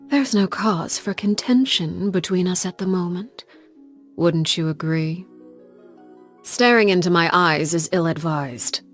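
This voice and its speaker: Low, breathy, coldly composed villainess. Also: female voice. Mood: courteous, controlled, then cool warning. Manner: measured, formal, politely threatening. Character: cold, composed, menacing woman commander called Father by orphans. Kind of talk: scripted video game character voice lines.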